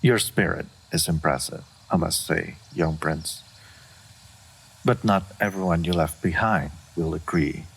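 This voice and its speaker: Solitary, dignified fantasy dragon, played by a man: gravelly and husky, formal, unhurried, reserved. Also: sits low, heavily acted, poor audio. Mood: reserved, yielding. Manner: formal, measured, deliberate, reserved. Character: aloof, solitary, dignified old male dragon of great power. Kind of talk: scripted fantasy roleplay audio.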